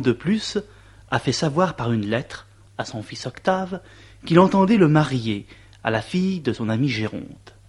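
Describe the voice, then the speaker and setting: Husky male narrator introducing a play. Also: medium pitch, darkish tone. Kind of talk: scripted story narration.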